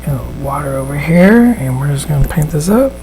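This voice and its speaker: Raspy, chatty man painting live. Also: fairly high pitch, fast talker, background noise. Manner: relaxed and chatty, lots of fillers and restarts. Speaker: miniature painter and host. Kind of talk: casual live painting demonstration. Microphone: studio microphone at a desk.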